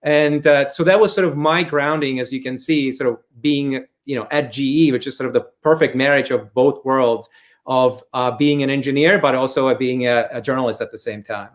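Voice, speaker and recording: A man's voice: mid-range voice, moderate pace. A man from the Czech Republic with a Czech accent, giving conversational interview answers and often rephrasing as he talks. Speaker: interview guest, corporate storyteller and former journalist. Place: remote video webinar.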